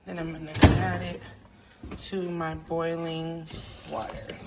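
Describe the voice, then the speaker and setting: A woman's voice: low-pitched, little pitch movement, takes it slowly. A woman hosting a casual home cooking video, thinking aloud while she cooks one-handed in her kitchen. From Haitian family background. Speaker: home cook showing a recipe. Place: home kitchen with running tap. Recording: background noise.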